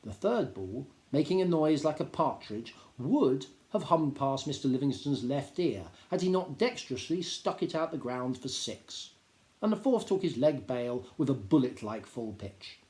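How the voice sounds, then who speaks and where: A man narrates a literary story with measured, dry humour. His intonation is lively and varied. The recording is roomy, with audible echo around the voice. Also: mid-range voice. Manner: measured, drily humorous storytelling. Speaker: teacher reading aloud. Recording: roomy, echoing indoor sound.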